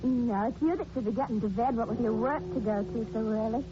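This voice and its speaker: A woman's voice: on the high side, a little fast, lightly acted. An actress playing a friendly young working-class London woman in a 1940s radio drama. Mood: affectionate, carefree. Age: young adult. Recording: noisy muffled 1940s radio recording.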